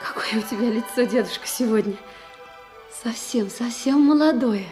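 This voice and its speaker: An actress playing a lively, affectionate young granddaughter, chatty and fond, in an echoey Soviet radio drama recording. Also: female voice, fairly high pitch, normal speed, over music, lightly acted. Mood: fond, concerned.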